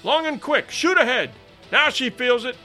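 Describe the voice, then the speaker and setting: A man's voice: very high-pitched, lively intonation, moderate pace, bright, forceful tone, soft background music, voice pushed up, heavily acted. A man voicing an eager whaleboat officer who urges on his rowers in exclamatory bursts, read as a storybook. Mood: exhilarated urging. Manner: exclamatory, urging, breathless short bursts. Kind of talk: dramatised storybook reading.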